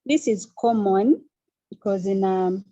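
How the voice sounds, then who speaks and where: A young woman from Uganda with a Ugandan accent, a student speaking on a panel, hesitant with many pauses and restarts. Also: mid-range voice, dark tone, lo-fi sound. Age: young adult. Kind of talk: online panel discussion.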